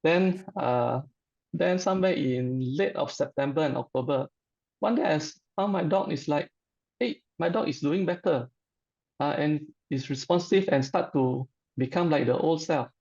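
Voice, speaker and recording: A middle-aged man with a Malaysian accent, giving an informal personal testimonial in a halting, hesitant way. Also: on the high side, gravelly, a little mellow, lo-fi sound.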